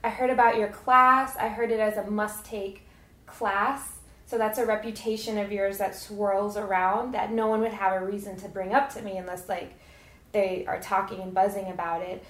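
A woman's voice: mid-range voice, flat delivery, normal speed, a bit bright. A thirty-year-old woman hosting a podcast, chatting in an effusive, informal and slangy way. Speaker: podcast host. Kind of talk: casual interview chat. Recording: roomy, echoey sound.

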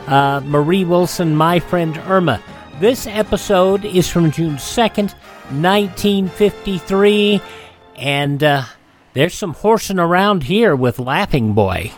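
A man hosting a classic radio program, introducing episodes in a relaxed, casual way. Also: fairly high pitch. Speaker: host.

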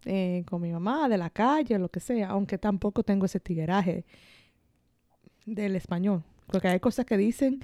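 A woman's voice: mid-range voice, normal speed, mellow, dry recording, clean studio sound. A woman with a Dominican Spanish accent, chatting informally as a podcast co-host. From Dominican heritage. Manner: informal, frequently laughing. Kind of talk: casual conversational chat.

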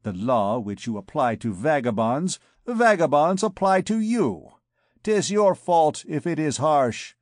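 A man's voice: on the high side, big pitch swings, unhurried, no room sound, studio quality. A man voicing a grandiose, menacing male beggar king who proclaims his titles with scorn. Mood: mocking.

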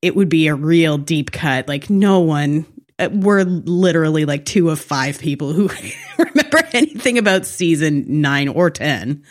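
A woman with a low voice talks as a podcast co-host. She is animated and gossipy, recapping television casually with a wry edge. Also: measured pace.

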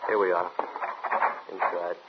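An actor playing a terse, matter-of-fact police lieutenant in a 1950s radio drama. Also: male voice, sits low, flat delivery, measured pace. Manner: terse, plain-spoken. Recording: dull, very noisy vintage radio recording.

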